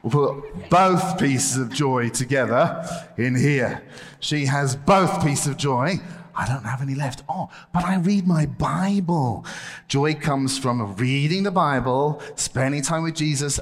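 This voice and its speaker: A man's voice: mid-range voice, expressive, a little slow. Playful man leading a kids' lesson. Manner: playful, laughing, calls out to the children. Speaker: children's church presenter. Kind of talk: playful object lesson for kids. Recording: echoey hall.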